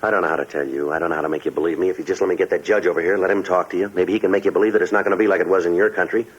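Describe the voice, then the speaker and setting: An actor playing a calm, persistent police detective, terse and earnestly persuasive with a husky voice, in a 1950s radio drama. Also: male voice, deep voice, rapid-fire. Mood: reasoning, insistent. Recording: muffled 1950s radio recording.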